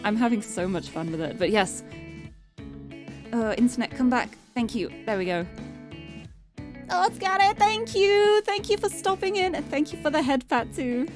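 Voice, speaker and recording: A young woman streamer chatting casually and cheerfully, her voice getting a little hoarse and tired. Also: sits high, sing-song, normal speed. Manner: bubbly, chatty, restarts words often. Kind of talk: casual live-stream chat. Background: background game music.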